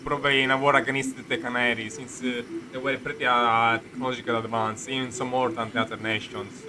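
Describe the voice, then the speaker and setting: A man giving casual live game commentary, rambling and halting as he searches for words. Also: mid-range voice, level tone, background noise. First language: Italian. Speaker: game streamer. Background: game music.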